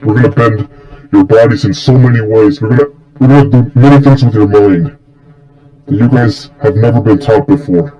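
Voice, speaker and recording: A man voicing a stern, confident dark-lord mentor in an amateur fan story, coaching in a blunt, matter-of-fact way. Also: lowish voice, moderate pace, dull audio, close to an everyday voice.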